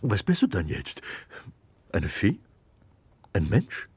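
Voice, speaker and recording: An actor playing a tender, scruffy old woodcarver father in a children's radio play, with a husky voice that often sinks to a whisper. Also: low-pitched, huge pitch swings, slow pace, darkish tone, no room sound, exaggerated voice. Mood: gentle. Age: older.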